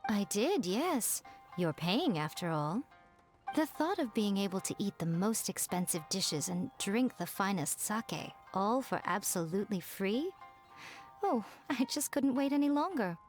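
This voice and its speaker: A woman playing a sly, elegant, self-indulgent lady in an anime video game, with a smug, teasing, drawn-out delivery. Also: medium pitch, very animated, soft background music. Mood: pleased, playful.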